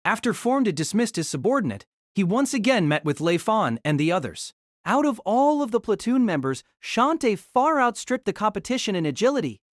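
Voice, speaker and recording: A woman's voice: medium pitch, sing-song, a little fast, no echo, studio quality. A woman reading scripted narration of a light novel as the narrator of an audio drama.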